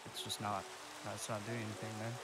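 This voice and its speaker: A young man chatting casually while playing a game, laid-back and quick to laugh. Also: fairly low pitch, completely flat delivery, loud music behind the voice. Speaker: co-player. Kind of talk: casual gaming chat.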